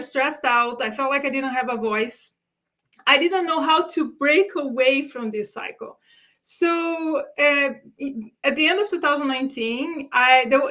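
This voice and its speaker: A woman's voice: high voice, sharp tone. A woman from Brazil, a career strategist, answering interview questions in an earnest, reflective way, often restarting her sentences. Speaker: guest expert, career strategist.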